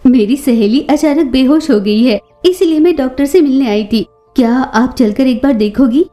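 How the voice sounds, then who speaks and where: A woman's voice: high voice, moderate pace, warm tone, soft background music, lightly acted. A woman voicing a bold, protective young female bird in a cartoon story, outspoken in manner. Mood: worried. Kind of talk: Hindi children's cartoon story acting.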